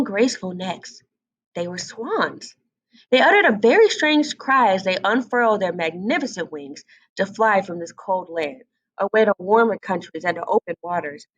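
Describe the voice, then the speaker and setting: A woman reading a fairy tale aloud as an expressive storybook narrator. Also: medium pitch, muffled recording.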